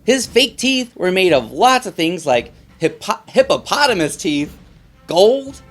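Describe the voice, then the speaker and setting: A man's voice: sits high, sing-song, a little slow, fairly bright tone, soft background music. A man hosting a children's read-aloud, reading in a playful, theatrical way and putting questions to young listeners.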